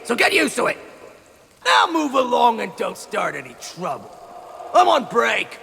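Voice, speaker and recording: A man's voice: extremely high pitch, wildly swooping pitch, unhurried, creaky, exaggerated voice. A man playing a cocky, scolding guard in a video game, exclamatory and taunting. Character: cocky, scolding guard sparring with mangy poodles. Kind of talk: video game character acting. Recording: loud noise, barking dogs behind.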